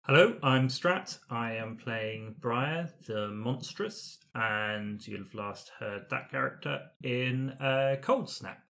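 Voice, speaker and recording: A man chatting casually as a role-playing podcast player, recounting in a halting, improvised way. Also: medium pitch, vocal fry, bright, forceful tone, a little hiss or hum. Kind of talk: casual panel chat.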